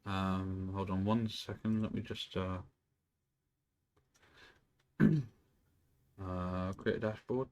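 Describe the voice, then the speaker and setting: A man streaming from home, chatting casually and rambling, who often clears his throat and swears. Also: low-pitched, level tone, slow, long pauses. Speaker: live streamer. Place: indoors at home.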